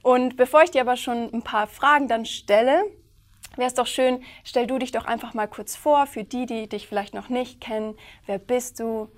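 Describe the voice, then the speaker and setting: Woman interview host, somewhat high voice, friendly, attentive, asks questions. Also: steady tone, normal speed. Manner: friendly, asks questions, many "mhm" fillers.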